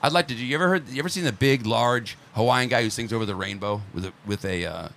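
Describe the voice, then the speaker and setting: A man co-hosting a talk show, bantering and telling jokes in a loose, animated way. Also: mid-range voice, quick pace, gravelly, a little hiss or hum. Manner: joking, animated storyteller. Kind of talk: casual banter.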